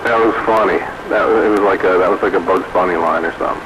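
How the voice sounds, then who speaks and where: A low-voiced man talks casually over a CB radio. Clear static and noise surround his transmission, as on a two-way set picked up over the air. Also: steady tone, fast talker. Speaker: CB radio operator. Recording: noisy, staticky CB radio reception.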